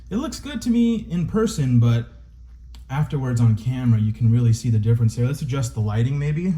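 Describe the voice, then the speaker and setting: A man with a dark, mellow voice reviews a toy for his viewers, casual and chatty. He sounds a little stuffy, getting over a cold. His delivery is eager and informal. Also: mid-range voice. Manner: excited, chatty, addresses viewers as guys. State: stuffy, recovering from illness.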